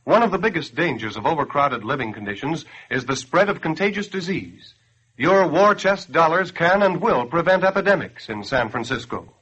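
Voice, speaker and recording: A man's voice: fairly high pitch, lively intonation, moderate pace, fairly bright tone, slightly rough. An American man announcing in 1940s radio style, delivering a scripted appeal in an earnest, declamatory, persuasive way. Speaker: radio announcer and campaign spokesman. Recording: dull grainy 1940s radio broadcast.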